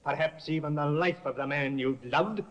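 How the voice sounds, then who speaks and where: An actor playing a sharp, persistent defense barrister cross-examining witnesses in an old radio drama, heard through a dull restored broadcast. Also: male voice, fairly high pitch, normal speed, lightly acted. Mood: pressing. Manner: probing, pressing cross-examination. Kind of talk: 1950s radio acting.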